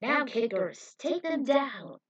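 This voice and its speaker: A woman's voice: fairly high pitch, very animated, takes it slowly, husky, muffled recording, exaggerated voice. A woman voicing a young male squad leader who gives urgent, encouraging orders in an action story. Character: commanding young male squad leader rallying his troops.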